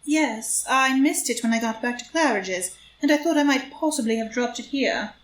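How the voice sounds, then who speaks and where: A woman acting a poised, sly society adventuress in a group play reading, polite and measured with a cutting edge. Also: high-pitched, natural voice. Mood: smooth, polite. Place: live in-person group reading in a room. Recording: roomy live reading with noise.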